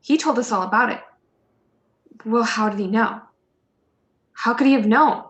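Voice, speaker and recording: A woman's voice: fairly high pitch, moderate pace, fairly bright tone, natural voice. A woman playing an alarmed, accusing neighbour in a radio play, speaking urgently and insistently in an echoey room. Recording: roomy, echoey home recording.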